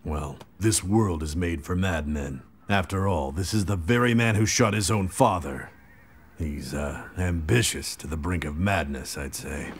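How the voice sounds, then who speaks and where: A wry mercenary warrior talks in a very low voice. He is sardonic and offhand, in video game drama style, over some background noise. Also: a little slow. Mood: wry, musing. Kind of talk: English game dub acting. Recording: clear background ambience noise.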